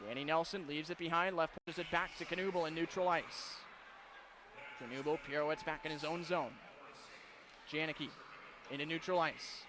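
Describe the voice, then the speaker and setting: A man calling live hockey play-by-play on the radio in an excitable manner, ready to build into shouted calls. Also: sits high. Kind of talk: live sports radio commentary. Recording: live broadcast with clear background noise.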